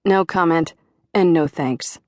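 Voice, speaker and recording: A woman's voice: low-pitched, slow, dry recording, studio quality. A woman voicing a chatty, catty female gossip who never stops talking, indignant. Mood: snippy.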